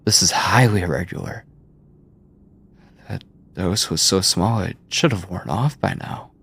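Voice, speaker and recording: Man acting a slow, husky, obsessive mad scientist. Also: deep voice, a bit bright, exaggerated voice. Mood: puzzled, suspicious. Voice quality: breathy, often dropping to a whisper. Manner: slow, deliberate, clinical yet doting. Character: obsessive yandere mad scientist, soft-spoken, controlling male captor. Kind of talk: ASMR horror roleplay, intimate acting.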